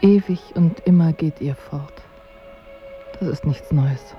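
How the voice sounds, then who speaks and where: An actress playing a languid, coolly ironic royal wife in a radio drama, with dry and formal diction. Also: female voice, sits low, takes it slowly, dull audio, music in the background. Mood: wounded, reproachful. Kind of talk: literary radio drama acting.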